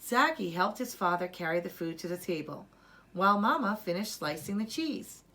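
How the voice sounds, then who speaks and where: A woman narrating a children's story as a storyteller, with deliberate, expressive delivery. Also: lowish voice, rough recording.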